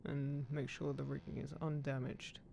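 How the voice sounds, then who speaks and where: Man playing a gravelly, guarded ghost pirate captain. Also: mid-range voice, little pitch movement, moderate pace, background noise. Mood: fond, assured. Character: guarded ghost pirate captain, fiercely protective of his crew. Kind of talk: immersive roleplay audio drama, spoken intimately to one listener. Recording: clear background ship-creak sound effects. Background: creaking wooden ship ambience.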